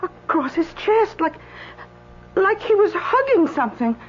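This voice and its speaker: An actress playing a timid, anxious woman in a radio drama, halting and pleading. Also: very high-pitched, big pitch swings, a little hiss or hum, heavily acted. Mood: anxious, hesitant. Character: anxious, timid woman who witnessed an accident. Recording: muffled, slightly humming radio recording.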